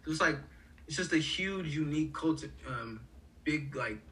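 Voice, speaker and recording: A young man from Haiti talks loosely, with lots of fillers and restarts. His voice is bright and forward. He sounds a bit away from the mic, with a faint hiss of background noise behind him. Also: a little high, lively intonation, moderate pace, far from the mic, low-quality recording. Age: young adult. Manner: rambling, many fillers and restarts. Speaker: college student guest. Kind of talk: casual conversation.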